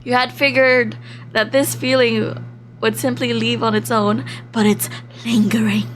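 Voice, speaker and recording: A woman live streaming a game in a casual, excitable, giggly way, reading text aloud and reacting expressively as she goes. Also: on the high side, a little slow, a little hiss or hum. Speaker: livestream host.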